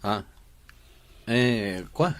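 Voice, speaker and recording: A man's voice: lowish voice, expressive, extremely slow, a bit bright, clean tone, full character voice. A man acting a laid-back young rancher in an audio drama, with an Ilocano accent and brief, hesitant replies. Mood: reserved.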